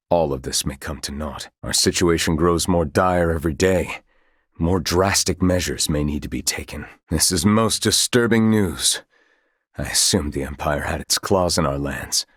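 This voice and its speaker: An actor playing a grave, dutiful military commander in a fantasy video game, speaking in formal, courtly phrases with measured care. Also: male voice, low voice, a bit bright, husky, dry recording, clean studio sound. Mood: formal, wary, then troubled. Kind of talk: scripted video game dialogue.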